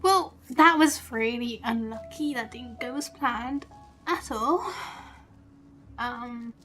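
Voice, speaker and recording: A woman chats through a live game stream in a slightly high voice. She takes her time, thinking aloud informally, with faint game music playing behind her. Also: slow pace, a bit bright. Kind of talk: casual live gaming stream commentary.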